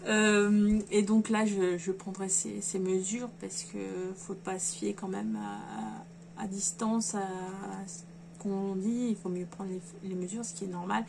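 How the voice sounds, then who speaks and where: A woman talks casually at a middle pitch, rambling along and stopping often to restart her sentences with fillers. Clear background noise runs under her home recording. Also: unhurried. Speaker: sewing vlogger. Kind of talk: casual chat to camera.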